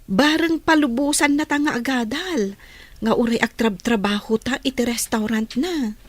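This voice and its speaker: Young female student played by a radio actress, high voice, Ilocano accent, practical, cautious, radio play delivery. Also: moderate pace, dry recording, natural voice. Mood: practical, hopeful. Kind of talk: radio drama acting.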